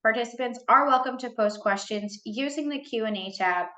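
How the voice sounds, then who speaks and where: A woman moderating a panel over a video call, reading a formal welcome in a steady way. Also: on the high side, moderate pace, echoey room.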